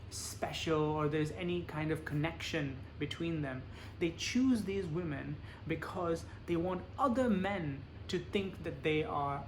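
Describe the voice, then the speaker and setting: A man lecturing in a measured, explaining way, pausing for an interpreter. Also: a little high, a little hiss or hum, low-quality recording. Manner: plain, measured explaining with examples.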